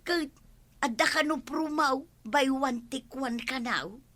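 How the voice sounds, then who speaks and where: A woman's voice: high-pitched, slow pace, a bit bright, a little hiss or hum. A woman with an Ilocano accent playing a pushy, persuasive village woman in a comedy radio drama. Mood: coaxing.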